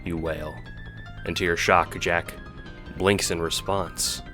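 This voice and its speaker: A man with a low voice narrates an audio drama in a dramatic, scripted storytelling style. Music plays clearly behind him. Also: little pitch movement, slow pace, sharp tone.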